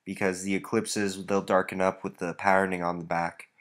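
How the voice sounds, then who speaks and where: Man, low-pitched, stuffed-up nose, relaxed rambling talk, vlog walkthrough. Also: normal speed. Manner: relaxed, rambling, many fillers. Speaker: reptile breeder.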